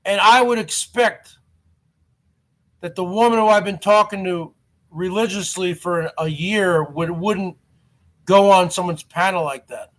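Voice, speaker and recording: A man in his forties with a stutter and a high voice hosts a live stream. He talks straight to his viewers and keeps circling back to the same grievance, repeating his words as he goes. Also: a little slow, fairly bright tone. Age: 40s. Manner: aggrieved, repeats himself.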